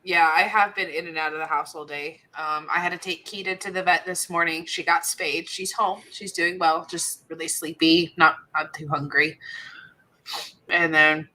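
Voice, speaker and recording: Stuffy-nosed woman with a fading voice, chatty, low but bright tone. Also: steady tone, moderate pace, rough recording. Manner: chatty, laughs often. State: congested, losing her voice. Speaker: military veteran. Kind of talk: casual chat.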